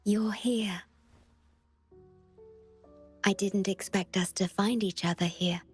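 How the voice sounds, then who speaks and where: Gentle young female game guardian: soft, solemn, unhurried, over music. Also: mid-range voice, steady tone, husky. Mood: tender, wistful. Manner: tender, measured, earnest. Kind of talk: video game voice acting.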